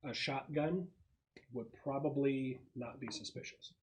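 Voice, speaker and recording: A man's voice: mid-range voice, measured pace. Conversational man running a tabletop game. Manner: explanatory, conversational. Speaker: game master. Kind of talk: casual tabletop game narration.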